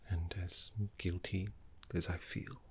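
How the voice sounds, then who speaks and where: Man acting as scholarly necromancy tutor, deep hushed whisper, very slow, courteous, wistful, soothing ASMR roleplay. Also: little pitch movement, full character voice. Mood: tender, regretful. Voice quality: soft, breathy whisper.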